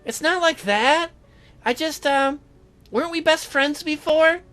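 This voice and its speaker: Teenage girl game heroine, as a let's player puts on: chirpy, flustered, halting, lifted sharp voice. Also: high-pitched, pitched above the performer's own voice. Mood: flustered, pleading.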